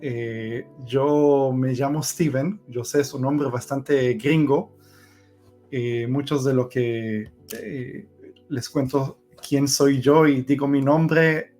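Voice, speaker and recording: Slow, hesitant Israeli man in his thirties. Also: mid-range voice, steady tone, darkish tone, faint music behind. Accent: Israeli, Argentine-influenced Spanish. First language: Hebrew. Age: 30s. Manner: slow, hesitant, many "eh" fillers. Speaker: guest giving a testimony. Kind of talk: personal testimony.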